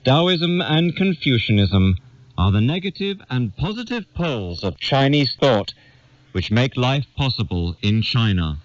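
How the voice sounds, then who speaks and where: Male narrator, medium pitch, measured declamatory essay reading, very dry sound. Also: very animated, no echo, dull audio. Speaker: reader of an essay. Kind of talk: scripted narration, reading a literary essay aloud.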